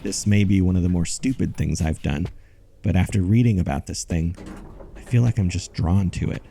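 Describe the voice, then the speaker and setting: A man's voice: low-pitched, moderate pace, vocal fry, dark tone. A man playing an earnest, obsessed amateur investigator recording himself on location in an audio drama. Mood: drawn, uneasy. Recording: noisy on-location podcast field recording.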